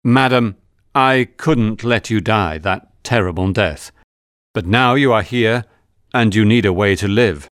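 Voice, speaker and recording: A man voicing a reserved, courteous English gentleman, grave and sincere, in audiobook dialogue. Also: fairly low pitch, a little slow, dry recording, clean studio sound. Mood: sad, resigned.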